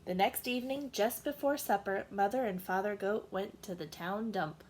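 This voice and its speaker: A woman reading a children's story aloud in an animated storytelling style. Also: medium pitch, moderate pace, slight background noise.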